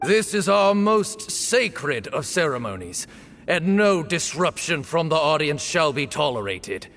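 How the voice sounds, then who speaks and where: A solemn temple official in a game, announcing a sacred duel slowly and formally. His gravelly voice rises and falls widely and rings out with a long hall reverb. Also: a little high, sing-song, a bit bright, soft background music, exaggerated voice. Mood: ceremonial. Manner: formal, slow, ceremonial proclaiming. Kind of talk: game voice acting.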